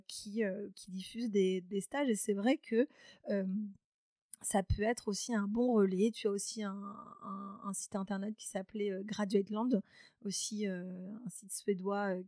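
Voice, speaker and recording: A woman's voice: mid-range voice, warm tone, dry recording. Woman guest on a podcast, lots of ums and restarts, dry close sound. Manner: hesitant, many fillers and restarts. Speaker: podcast guest, company founder. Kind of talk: casual interview talk.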